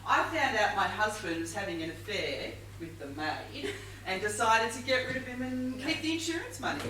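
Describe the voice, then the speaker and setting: An actress playing a dramatic, insistent widow suspected of murder in a stage whodunit, with an exclamatory manner. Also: female voice, on the high side, expressive, normal speed, sharp tone. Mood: playful, insistent. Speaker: stage actor. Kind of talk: amateur one-act stage play acting. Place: indoor theatre stage before an audience. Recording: echoey theatre stage from far, faint noise.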